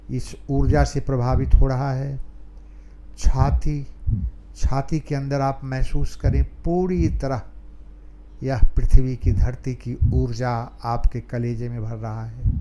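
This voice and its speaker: A man leading a guided meditation calmly, pausing between short phrases. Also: mid-range voice. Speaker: meditation teacher.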